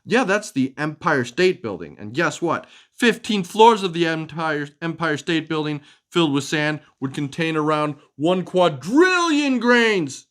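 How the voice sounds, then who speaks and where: A man's voice: a little high, big pitch swings, unhurried, hardly any pauses, fairly bright tone. A man hosting a children's storytime, reading expressively and chatting casually to explain things. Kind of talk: animated picture-book reading and casual chat with kids.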